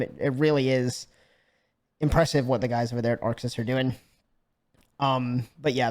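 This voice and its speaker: Brief: man, slightly scratchy voice, casual animated game review, a bit high-pitched. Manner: animated, swears freely, frequent fillers and restarts. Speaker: gaming YouTuber.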